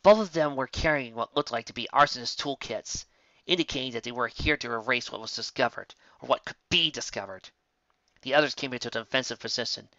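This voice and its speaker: A man's voice: medium pitch, fairly quick, bright, forceful tone, no room sound. A man reading scripted fiction as a story narrator. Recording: slightly dull, close dry recording.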